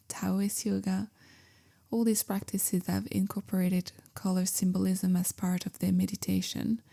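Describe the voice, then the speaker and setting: A woman guiding a meditation in a gentle, calm, measured way, softly spoken with whispery phrase endings. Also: mid-range voice, creaky. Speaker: meditation guide, yoga teacher. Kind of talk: guided meditation, slow soothing instruction.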